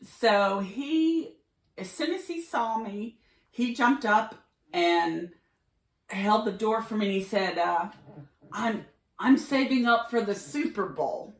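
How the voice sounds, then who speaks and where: A woman's voice: mid-range voice, slow. A middle-aged woman podcast host casually telling travel anecdotes, animatedly acting out the dialogue as she goes. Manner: animated retelling with quoted dialogue. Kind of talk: casual conversational storytelling. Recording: roomy echo with clear background noise.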